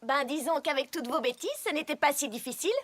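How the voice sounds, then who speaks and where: A woman's voice: sits high, fast, a bit bright. A woman voicing a bookish, sensible young female pony in a French cartoon dub, articulate and reasoning. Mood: pleased, sensible. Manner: articulate, reasoning, slightly smug.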